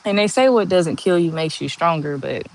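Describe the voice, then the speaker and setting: A woman in her forties with a monotone voice, chatting slowly and candidly on a livestream and answering viewers' comments. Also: lowish voice, poor audio. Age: 40s. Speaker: livestream host. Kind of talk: casual live chat answering viewer comments.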